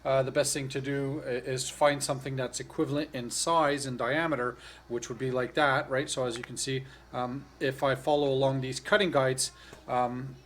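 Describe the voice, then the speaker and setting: A man's voice: mid-range voice, flat delivery, normal speed, fairly bright tone, a little hiss or hum. A man hosting a casual how-to tutorial, explaining step by step in a loose, hesitant way and often correcting himself. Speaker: host of a tutorial channel. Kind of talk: casual hands-on tutorial narration.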